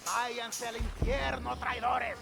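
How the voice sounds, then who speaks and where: An actor playing a bold, wry Mexican rebel worker in a video game, with a Mexican accent. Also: male voice, very high voice, little pitch movement, unhurried, gravelly, music in the background, heavily acted. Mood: defiant. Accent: Mexican Spanish.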